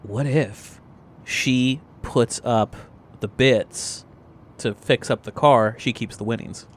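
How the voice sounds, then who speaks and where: A man's voice: mid-range voice, takes it slowly. Man reasoning aloud at a game table. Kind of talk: casual table talk.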